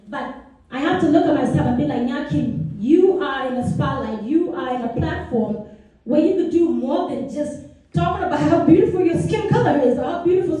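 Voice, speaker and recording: A woman's voice: high voice, warm tone, very echoey, recorded from a distance, low-quality recording. A young woman from South Sudan telling her personal story to a meeting, earnest and chatty with many asides. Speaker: speaker. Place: meeting room.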